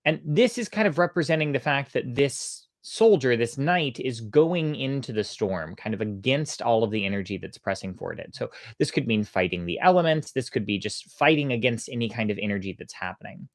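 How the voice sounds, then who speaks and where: Storytime host, chatty and thoughtful, voice goes up and down a lot, really dry close sound, expressive narrating. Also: mid-range voice, a little fast, few pauses, dry recording. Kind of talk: casual explaining and storybook narration.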